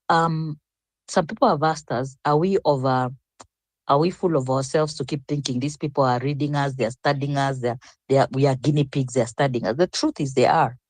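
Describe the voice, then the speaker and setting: A woman's voice: deep voice, a little mellow, no echo. An older woman speaking as a panelist in an online discussion, reflective and rambling with frequent restarts.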